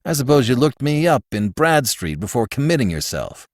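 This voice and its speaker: A male narrator voicing a gruff, bitter older millionaire father who talks in a low, grumbling, curt way. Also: sing-song, moderate pace, dry recording, clean studio sound.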